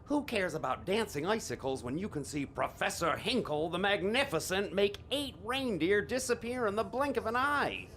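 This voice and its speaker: An actor playing a grumpy, boastful stage magician in an audio drama, sarcastic and theatrical in manner. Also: male voice, sing-song, normal speed, hardly any pauses, a bit bright, full character voice. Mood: boastful, eager. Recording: faint noise with low rumble.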